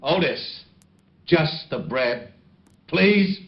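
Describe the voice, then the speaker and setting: A muffled old radio recording of an American police lieutenant. His mid-pitched male voice swings widely, gruff and clipped, easily exasperated with underlings. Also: animated, very slow, exaggerated voice. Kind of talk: 1940s-50s radio detective drama acting. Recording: dull vintage radio recording.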